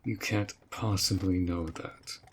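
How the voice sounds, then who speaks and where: Orc general, male, played by a man: lowish, unhurried delivery, gruff and protective, earnest and tender beneath, for roleplay audio drama. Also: steady tone, slight background noise. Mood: dutiful, worried. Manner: slow, measured, earnest, with sighs.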